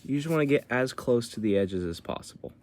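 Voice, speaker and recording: A man's voice: medium pitch, animated, brisk, a little mellow, a little hiss or hum. A man giving a casual step-by-step how-to tutorial in an informal, colloquial way, explaining as he demonstrates. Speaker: tutorial presenter.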